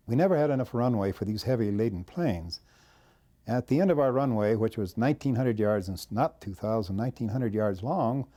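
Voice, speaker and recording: An elderly American man, a war veteran, recounting his memories in a plainspoken, measured storytelling way. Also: mid-range voice, warm tone. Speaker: war veteran, former air traffic controller. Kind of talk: recounting wartime memories and describing photographs.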